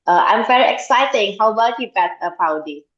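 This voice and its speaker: A woman hosting an online event, speaking hesitantly with many filler pauses over a video call. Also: mid-range voice, expressive, fairly bright tone. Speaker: event host. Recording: roomy, muffled video call audio.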